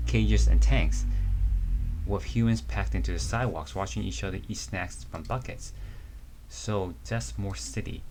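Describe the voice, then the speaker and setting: A man lends his own low voice to a young woman raised in the wilds, narrating her trip to a zoo. The delivery stays plain and unpolished, like a casual fan reading of a comic. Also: a little hiss or hum. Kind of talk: amateur dramatic reading of a comic.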